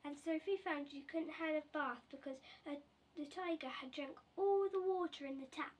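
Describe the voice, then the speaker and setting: High-voiced female storybook reader, off mic. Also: normal speed, muffled recording. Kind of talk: reading a children's picture book aloud. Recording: distant mic with faint background noise.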